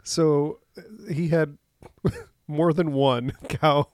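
A man's voice: a little high, fairly even intonation, extremely slow, darkish tone, no room sound, clean studio sound. A man co-hosting a podcast in casual chat from his home basement, rambling with many fillers and frequent laughs. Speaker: podcast co-host.

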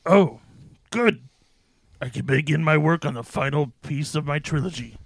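A man's voice: fairly high pitch, moderate pace, vocal fry, breathy edge, no room sound. A man voicing a pompous demon with theatrical, formal phrasing for a comedy skit. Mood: eager. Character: pompous demon obsessed with his film trilogy.

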